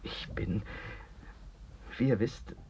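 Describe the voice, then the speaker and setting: An actor playing a brooding, probing octopus in a German radio play, with solemn, insistent theatrical delivery. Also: male voice, slow pace, dark tone, slight background noise, close to an everyday voice. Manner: theatrical, solemn, insistent, dropping to near whispers. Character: brooding octopus with eight arms, self-confessed freak, probing interrogator. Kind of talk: German radio play acting, literary dialogue. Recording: dull, muffled radio drama recording.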